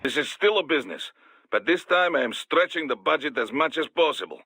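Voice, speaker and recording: An actor playing a gruff, businesslike Russian security boss in a video game, with a Russian accent. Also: male voice, on the high side, lively intonation, sharp tone, no echo, exaggerated voice. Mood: matter-of-fact. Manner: businesslike, measured.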